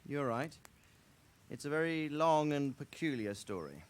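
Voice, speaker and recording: Lively-toned, courteous noble lord. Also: male voice, on the high side. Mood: pleased, formal. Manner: formal, deliberate. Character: courteous, commanding noble lord, gracious and self-assured. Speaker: actor in a video game. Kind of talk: dramatic game cutscene acting.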